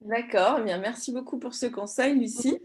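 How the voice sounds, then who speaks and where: A woman hosting an interview, friendly and quick to laugh. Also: on the high side, a little mellow. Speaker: interviewer, host.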